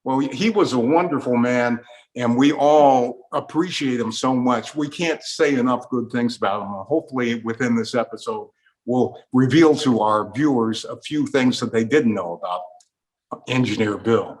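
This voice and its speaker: A man's voice: mid-range voice, moderate pace, a little mellow, rough recording. A man with an American accent hosting a talk program, delivering scripted introductions like a radio presenter. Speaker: host.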